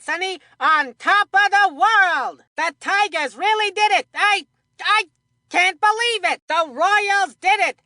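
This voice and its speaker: An actor voicing a theatrical cartoon baseball play-by-play commentator in a kids' video game, with folksy delivery and drawn-out emphasis. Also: extremely high pitch, sharp tone, no room sound, studio quality, heavily acted. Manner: exclamatory, folksy old-fashioned exclamations, drawn-out emphasis. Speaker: sports commentator.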